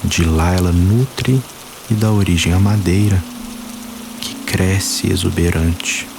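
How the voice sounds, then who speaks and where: A man guiding a meditation, speaking calmly and slowly in short phrases with long pauses. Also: deep voice, creaky, background noise. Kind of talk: guided meditation. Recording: voice-over with music and water sounds. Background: soft music, rain and running water.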